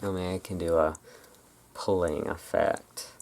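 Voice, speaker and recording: ASMR host, deep pitch with heavy fry; casual, halting roleplay chatter. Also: fairly even intonation, a little mellow, a little hiss or hum. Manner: rambling, halting, informal.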